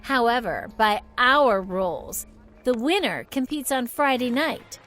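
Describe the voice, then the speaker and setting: A woman voicing a haughty villainous skeleton singing champion in an animated film, sneering, theatrical and grandly condescending. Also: a little high, measured pace, faint music behind. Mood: sly challenging.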